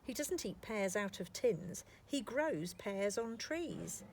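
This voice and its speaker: A woman's voice: medium pitch, lively intonation, slow, darkish tone. A woman reads as a young boy in a children's storybook, proud and stubborn. The delivery is emphatic and swings animatedly up and down, with a little background noise. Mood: proud, boastful. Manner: insistent, emphatic, sometimes sighing. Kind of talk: children's storybook character reading.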